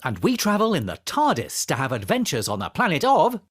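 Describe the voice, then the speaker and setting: An actor playing a quirky, gently scolding adventurer for small children, with a fussy, animated manner. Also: male voice, a little high, fairly quick, breathy edge, full character voice. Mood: bright, adventurous. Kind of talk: children's audio drama performance.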